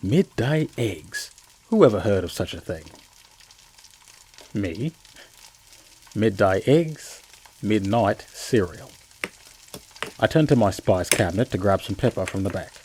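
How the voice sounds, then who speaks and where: A man playing a chatty, bewildered narrator of an eerie first-person radio play, theatrical, with asides and dramatic pauses. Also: lowish voice, animated, darkish tone, husky, heavily acted, rough recording. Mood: wry, relaxed. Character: chatty, bewildered man telling his own eerie tale.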